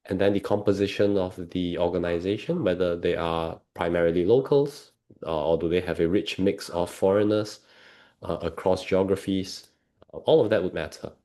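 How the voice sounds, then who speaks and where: A man from Singapore, a coach being interviewed, explaining in a measured, conversational way. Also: deep voice, warm tone. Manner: measured, explanatory, checks with "right?". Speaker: agile coach, interview guest.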